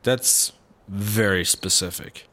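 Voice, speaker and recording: A man's voice: on the low side, takes it slowly, bright, forceful tone. A man acting an uptight, practical, anxious straight man in a sci-fi comedy audio drama. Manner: wary, exasperated straight man. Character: uptight, anxious, practical male partner prone to paranoia.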